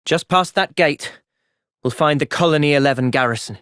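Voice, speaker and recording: An actor playing an earnest, thoughtful young male hero in a fantasy video game, speaking sincerely and clearly. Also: fairly high pitch, normal speed, a bit bright, no echo, studio quality, close to an everyday voice. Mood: calm, measured. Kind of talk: video game cutscene voice acting.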